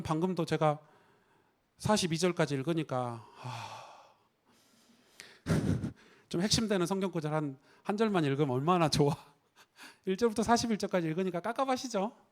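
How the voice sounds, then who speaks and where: A man preaching a Sunday sermon as a pastor in a church, talking conversationally and asking the congregation rhetorical questions. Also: on the high side. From Korea. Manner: conversational, rhetorical questions, acts out quoted voices.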